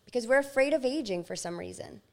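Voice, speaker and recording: A woman in her thirties, a podcast guest, speaking reflectively and at times slowly as she thinks aloud. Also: a little high, animated, gravelly. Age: 30s. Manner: reflective, slow and thoughtful at times. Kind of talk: casual interview chat.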